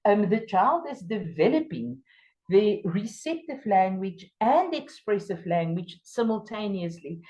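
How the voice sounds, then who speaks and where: Afrikaans-accented lady teaching a teacher workshop, mellow voice, punchy stressed words, kinda muffled lo-fi sound. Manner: emphatic, stresses key words with jumps in pitch. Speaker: teacher trainer. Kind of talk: workshop lecture.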